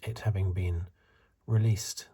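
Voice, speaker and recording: A man in his fifties giving soft-spoken, whispery ASMR commentary in a hesitant, halting, unscripted way, with a breathy voice. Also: deep voice, steady tone. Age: 50s. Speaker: host of an ASMR video channel.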